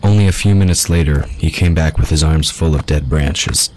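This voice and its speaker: A man with an extremely low voice narrates a fantasy audio drama from a script. Faint noise and ambient effects sit behind him. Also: moderate pace. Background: ambient sound effects.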